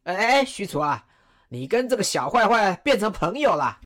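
A woman playing Cao Cao, a weary, lonely middle-aged warlord, speaking slowly with heavy sighs in an audio drama. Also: medium pitch, very animated, lightly acted. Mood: wistful. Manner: slow, heavy sighing delivery.

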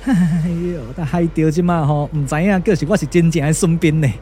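A man's voice: on the high side, brisk, dark tone, slight background noise, close to an everyday voice. A man voicing a cowardly comic impostor, muttering and whining nervously in a Taiwanese puppet radio drama. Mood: nervous, puzzled. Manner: muttering, chattery, whiny. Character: cowardly comic male impostor disguised as a strategist.

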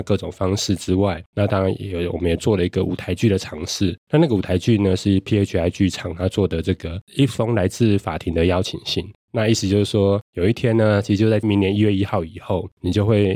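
A man's voice: deep voice, a little mellow, no room sound, clean studio sound. A man with a Taiwanese Mandarin accent, an interview guest explaining methodically and often rephrasing as he goes. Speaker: guest judge.

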